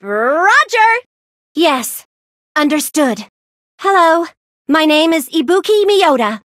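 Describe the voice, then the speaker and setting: A zany teenage rocker girl for an anime game. Her voice is extremely high and bright, swinging widely in pitch. The delivery is exuberant, playful and exaggerated. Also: big pitch swings, slow, no echo, studio quality, full character voice. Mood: cheerful. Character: hyperactive, zany teenage girl rock musician. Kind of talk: anime video game voice acting, short exclamations.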